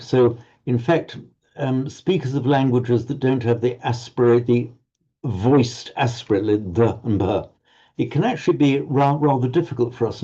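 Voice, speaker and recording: A man teaching Sanskrit pronunciation in an online group lesson, halting and restarting words, encouraging his students. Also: medium pitch, warm tone, muffled recording.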